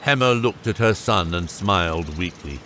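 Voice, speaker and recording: A man's voice: deep voice, animated, normal speed, a little hiss or hum. A man narrating a scripted fantasy audiobook. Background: loud music.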